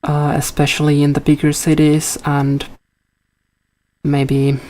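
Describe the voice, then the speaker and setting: A man's voice: a little high, monotone, slow pace, a little mellow. A man from Finland with a Finland-Swedish accent, whose first language is Finnish, casually thinking aloud while coding and answering a chat question, with many fillers. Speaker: live coder answering chat.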